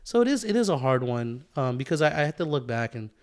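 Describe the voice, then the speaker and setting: A man with an American accent chatting casually as a podcast co-host, reflective and halting, often repeating himself. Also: mid-range voice, very animated. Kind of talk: casual chat.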